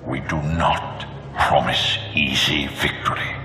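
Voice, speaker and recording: An actor playing the solemn, commanding ghost of a fallen dark lord in a video game, speaking in grave, deliberate declamation. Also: male voice, fairly even intonation, exaggerated voice. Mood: stern, commanding. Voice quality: deep, gravelly. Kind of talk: dramatic video game acting. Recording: reverberant hall echo, music underneath.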